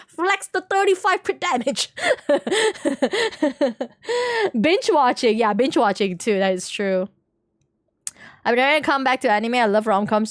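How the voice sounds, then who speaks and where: High-pitched woman chatting on a game stream. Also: no room sound, clean studio sound. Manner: chatty, informal, giggly. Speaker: live streamer. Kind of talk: casual chat with stream viewers during gameplay.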